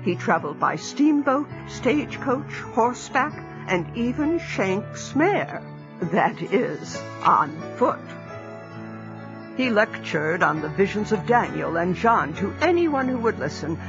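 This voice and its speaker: Male narrator, measured storytelling, music bed, lo-fi. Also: sits high, big pitch swings. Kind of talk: scripted narration. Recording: dull lo-fi recording with music.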